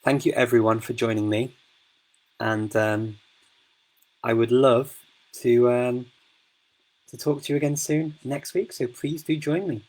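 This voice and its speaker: A man's voice: mid-range voice, steady tone, measured pace, pauses often, a little mellow, rough recording. A man hosting a casual live-stream Q&A, speaking in a chatty, rambling way with many fillers and restarts. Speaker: host of a live Q&A.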